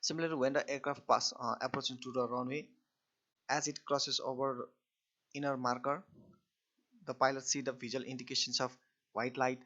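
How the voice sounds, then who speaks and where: Man lecturing haltingly on a dull recording. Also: medium pitch, pushed and bright. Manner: halting, repetitive phrasing with restarts. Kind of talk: explanatory technical lecture.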